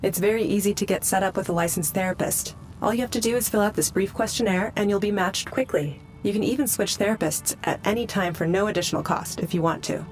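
A woman's voice: medium pitch, fast, few pauses, soft background music, natural voice. A woman playing a helpful female AI assistant in a scripted podcast ad, composed and obliging.

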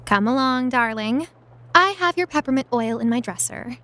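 High-voiced bossy young adventuress. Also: female voice, expressive, moderate pace, fairly bright tone, soft background music. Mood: teasing, imperious. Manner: imperious and teasing. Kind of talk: audio drama acting.

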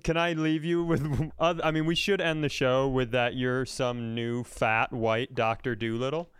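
A man's voice: mid-range voice, level tone, measured pace, clear, resonant tone, dry recording, studio quality. An American man co-hosting a comedy podcast, ranting casually in a blunt, profane, mocking way.

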